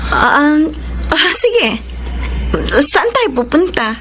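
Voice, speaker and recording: An actress playing a picky, exasperated single woman in her thirties in a radio drama, expressive and dramatic, mixing Tagalog and English. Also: high-pitched, slow pace, full character voice. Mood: shy, polite. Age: 30s. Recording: faint music behind the voice.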